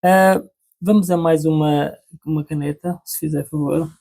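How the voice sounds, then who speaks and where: A woman's voice: low voice, steady tone, normal speed, creaky, warm tone. A woman hosting a podcast who asks questions and answers mostly with brief affirmations. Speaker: podcast host. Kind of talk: interviewer.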